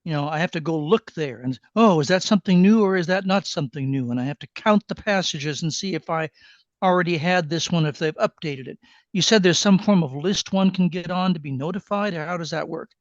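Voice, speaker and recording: A man's voice: fairly high pitch, fairly quick, no echo. A man asking a question in an online class, chatty and mildly frustrated. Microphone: video call. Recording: dry dull video-call audio.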